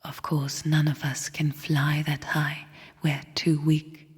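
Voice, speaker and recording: Bleak whispering spirit sister, very breathy. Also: female voice, low voice, little pitch movement, heavily acted. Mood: cryptic, taunting. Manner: slow, hushed, cryptic and scornful. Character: bleak, bitter female spirit sister of a dying void. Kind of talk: video game character monologue. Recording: long reverberant hall echo.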